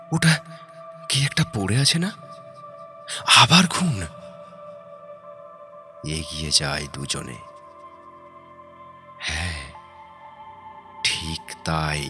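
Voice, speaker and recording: A man narrating a horror story in a dramatic, suspenseful way, in short halting phrases. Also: low voice. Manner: suspenseful, halting short phrases, dropping to hushed breathy tones. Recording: background music under the voice. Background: prominent music.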